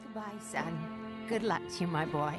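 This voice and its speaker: A woman's voice: fairly low pitch, normal speed, loud music behind the voice, natural voice. A woman playing a worried, tender mother of a grown son in an old-time radio play, fretful and pleading. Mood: tender farewell.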